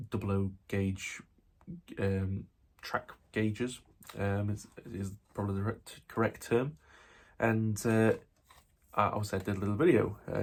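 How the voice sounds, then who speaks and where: A man with a Yorkshire accent and a low, husky voice that sounds a little stuffed up from a cold. He hosts a chatty, meandering update with plenty of fillers and restarts. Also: darkish tone. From England. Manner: rambling, with many fillers and restarts. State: slightly nasal from a cold. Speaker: model railway channel host. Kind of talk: casual chatty video update.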